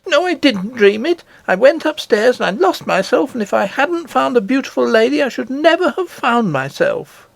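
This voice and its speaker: A man's voice: extremely high pitch, very animated, normal speed, vocal fry, hardly any pauses, dry recording, pitched above the performer's own voice, exaggerated voice. A man voicing an earnest, indignant little princess in a read-aloud storybook, eager and insistent in a childlike way. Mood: indignant, upset.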